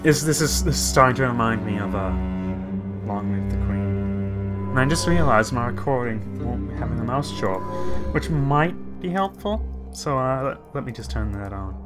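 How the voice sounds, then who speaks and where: A man's voice: mid-range voice, expressive, normal speed. A man giving let's play commentary, reading game text aloud and adding jokey, rambling asides. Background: game music.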